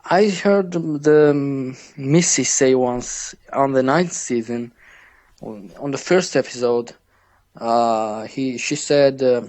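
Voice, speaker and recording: A man with a Georgian accent speaks slowly and haltingly, hunting for English words and stopping to restart his phrases. His voice sits at an ordinary middle pitch for a man. He talks in a relaxed way, as a guest on a casual podcast. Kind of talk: casual interview chat.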